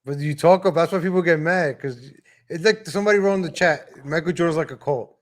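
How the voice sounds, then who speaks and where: A man calls in to a live stream, his voice a touch high. He speaks casually and laid-back, sometimes stumbling over his words. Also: fast. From born in Ecuador, grew up in New York. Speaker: caller. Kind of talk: casual chat.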